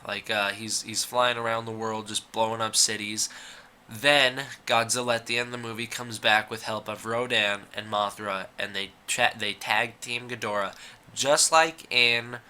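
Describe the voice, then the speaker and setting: A man's voice: on the low side, steady tone, moderate pace, pushed and bright, clean tone. A young man with a cold, giving a rambling, opinionated casual commentary as a YouTuber, with frequent "uh" and "like". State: fighting a cold.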